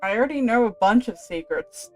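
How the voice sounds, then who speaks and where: A woman streamer with a stuffy nose from a cold, chatting and joking casually while playing, with a low, slightly raspy voice for a woman. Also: lively intonation, fairly quick, faint music behind, poor audio. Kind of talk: casual gameplay commentary.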